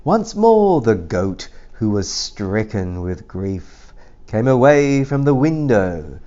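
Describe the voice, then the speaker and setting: A low-voiced man reads a children's story aloud with theatrical playfulness. He lingers over the phrases and varies his pitch freely. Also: big pitch swings, takes it slowly, mellow, lo-fi sound. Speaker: storybook reader.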